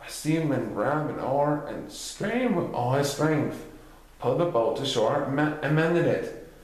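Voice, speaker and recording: A man's voice: medium pitch, very animated, unhurried. A man reading a written history story aloud as a narrator, occasionally stumbling over a word. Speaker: reader. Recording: very echoey, reverberant room.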